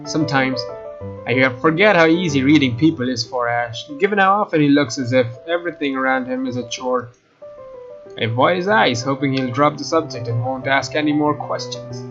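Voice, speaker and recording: A man hosting a let's play, reading a visual novel's story narration aloud. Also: a little high. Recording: dull, lo-fi microphone sound. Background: guitar game music.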